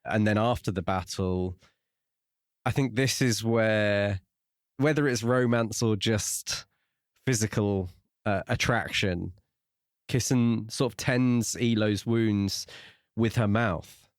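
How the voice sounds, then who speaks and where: A man co-hosting a podcast, explaining a book in a measured, conversational way. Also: fairly low pitch, no echo, studio quality. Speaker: podcast co-host. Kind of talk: casual book discussion.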